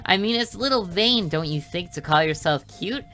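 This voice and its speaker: Man doing a smug, bright-voiced anime little sister. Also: high-pitched, lively intonation, fairly quick, soft background music. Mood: teasing. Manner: chiding, self-satisfied. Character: cute, vain, bossy teasing younger sister, anime schoolgirl.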